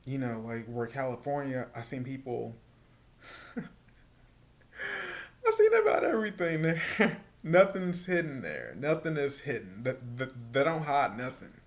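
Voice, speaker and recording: A man with a soft voice, stuttering and repeating words as he talks casually to the camera in a personal vlog. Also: medium pitch, sing-song. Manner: stuttering, halting with many repeated words and fillers. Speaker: vlogger.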